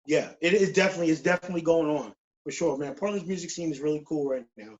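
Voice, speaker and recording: A man's voice: fairly high pitch, fast talker. A forty-year-old man chatting casually as an interview guest in slangy speech, often checking in with "you know what I'm saying", on a dull lo-fi recording. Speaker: guest drummer. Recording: dull lo-fi call audio.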